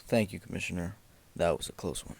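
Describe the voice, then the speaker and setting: A man acting a calm, suave male vigilante in an amateur radio play. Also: low-pitched, fast, creaky, a little hiss or hum.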